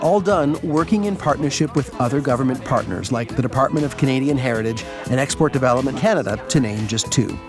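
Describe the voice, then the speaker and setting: A man reading scripted documentary narration in a polished, even way. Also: mid-range voice, fast talker, hardly any pauses. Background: background music.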